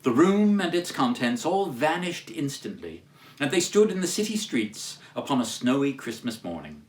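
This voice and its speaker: A man giving a dramatic literary reading as a storyteller, with theatrical, emphatic, measured phrasing. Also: medium pitch, big pitch swings. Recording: roomy echo, distant microphone.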